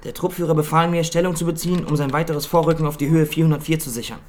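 Actor as nervous young soldier, higher-pitched voice. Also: male voice, steady tone, very fast, a little mellow, close to an everyday voice. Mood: stiff, reporting. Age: young adult. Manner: stiff, clipped military report phrasing. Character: nervous young soldier of twenty-one, stiffly clinging to military jargon. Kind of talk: German radio drama acting.

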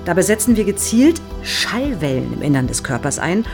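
Casting: middle-aged research psychologist, lowish voice, polite and keenly probing, naturalistic audio drama, music underneath. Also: female voice, sing-song, moderate pace, creaky. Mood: polite, explaining. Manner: polite, explanatory, keenly interested. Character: eager, probing female research psychologist, no longer young.

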